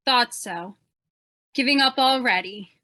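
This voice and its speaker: Woman playing a bright, perky, sarcastic photographer sidekick. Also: on the high side, extremely slow, no room sound, heavily acted. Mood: skeptical, teasing. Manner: wisecracking. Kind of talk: old time radio drama acting.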